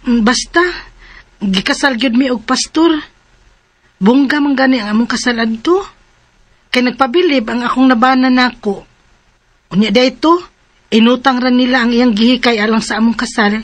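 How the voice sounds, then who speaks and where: A woman's voice: a little high, natural voice. A woman acting a troubled separated mother who is pregnant and wants to remarry, in Cebuano-accented radio drama. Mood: rueful. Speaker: radio drama lead and letter narrator. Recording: music playing behind the voice.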